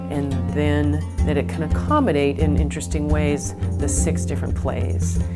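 A woman set designer speaks thoughtfully and descriptively, her voice deep for a woman. Loud plucked guitar music plays right under her words. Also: steady tone, normal speed. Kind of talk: interview soundbite.

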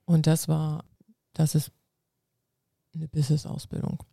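Gravelly woman, earnest. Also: low voice, completely flat delivery, measured pace, mellow, dry recording, studio quality. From North Frisia, northern Germany. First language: Low German. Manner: earnest, explains at length with restarts. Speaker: guest, coach and civil servant. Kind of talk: casual interview answers.

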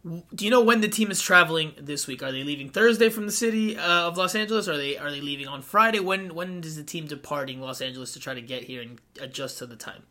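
A man with a fairly high, sharp and bright voice hosts a soccer podcast. He moves briskly between segments and puts direct, upbeat questions to his guest. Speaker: podcast host. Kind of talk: sports podcast hosting.

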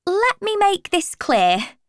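A woman's voice: extremely high pitch, wildly swooping pitch, slow, dry recording, pitched above the performer's own voice, full character voice. A woman voicing a dry, frank young female vet with a matter-of-fact manner in an audiobook. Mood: brisk, firm.

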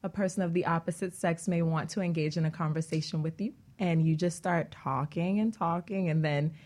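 Lower-pitched woman, casual guest. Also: fairly even intonation, fairly quick, a little mellow. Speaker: talk show guest. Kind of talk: casual chat.

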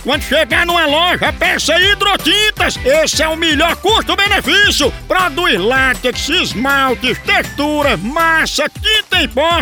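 Super high pitched silly radio host, northeast brazil accent, loud playful slang, music behind. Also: male voice. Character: boisterous high-pitched joking radio funnyman. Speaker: comedy radio show host. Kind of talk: hyped comic radio patter. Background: music bed.

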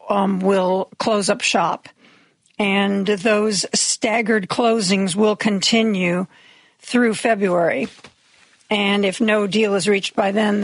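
A woman hosting a radio talk show, raspy from medication, giving chatty, wry news commentary with opinionated asides. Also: mid-range voice, no echo. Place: radio studio.

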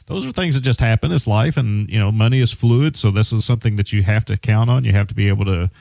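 A financial advisor hosts a radio show in a relaxed, plainspoken way, often restarting his sentences. His voice sits low and sounds dry and close to the mic. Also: fast, vocal fry, no echo. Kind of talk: conversational radio finance talk.

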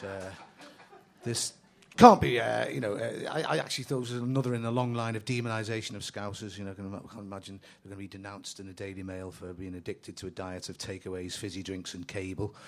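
A man from Liverpool with a Liverpool accent, a comic songwriter mumbling dry jokes between songs and singing a comedy song at a live pub gig. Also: low voice. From Liverpool, England. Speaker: musical guest, comic songwriter. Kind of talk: comic patter and sung comedy song with guitar. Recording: loud guitar under the voice. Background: guitar.

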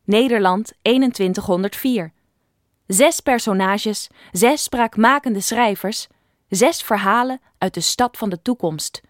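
A woman's voice: mid-range voice, unhurried, no room sound, studio quality. A woman announcer reading a scripted introduction to an audio drama series.

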